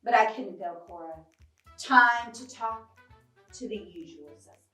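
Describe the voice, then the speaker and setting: A woman's voice: fairly high pitch, a little slow, a bit bright, distant mic. A woman voicing a hard-boiled cat detective who narrates a storybook case in a dramatic, clipped noir manner. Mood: brooding, determined. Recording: roomy, off-mic, music behind.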